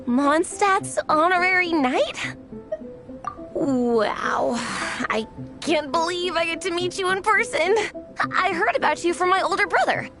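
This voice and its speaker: A wide-eyed young girl from a video game, a sincere comic-book fan. She speaks slowly in a high, youthful voice with an earnest, starstruck temper. Music is clearly audible behind her.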